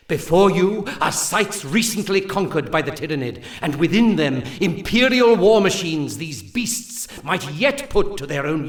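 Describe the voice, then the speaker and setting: A man's voice: a little high, a bit bright. A man playing a solemn alien seer in a video game, delivering grandiose, archaic briefings to warriors with prophetic gravity. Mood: exalted, urgent. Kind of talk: scripted game mission briefing. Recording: roomy echo, distant from mic.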